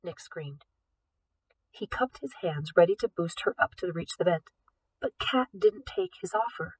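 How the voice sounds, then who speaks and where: A woman narrating a novel as an audiobook reader, with expressive delivery. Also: on the low side, unhurried, slightly rough, distant mic, poor audio. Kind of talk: expressive scripted fiction narration.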